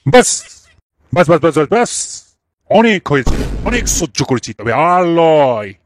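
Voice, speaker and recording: A man voicing a hot-tempered old village uncle in a cartoon, gruff and scolding. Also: fairly high pitch, sing-song, normal speed, a little hiss or hum. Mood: fed up. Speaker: cartoon character.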